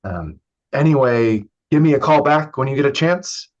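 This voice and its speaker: A man playing a troubled young man struggling with alcohol, halting and raw, in a staged play reading. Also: mid-range voice, expressive, dull audio, natural voice. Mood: awkward, tender.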